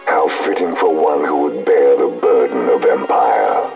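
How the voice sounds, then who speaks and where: A man's voice: rumbling low, measured pace, a little mellow, full character voice. A man playing a stern, fiercely loyal imperial judge in a fantasy video game, declaiming in formal, commanding phrases. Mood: stern, indignant. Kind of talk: formal, archaic video game drama acting. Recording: muffled game audio under clear music.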